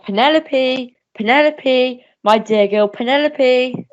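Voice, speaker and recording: A woman's voice: high voice, takes it slowly, dull audio, slight background noise. A woman playing a decrepit old major and devoted father, earnest and declamatory in a radio melodrama. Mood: anxious.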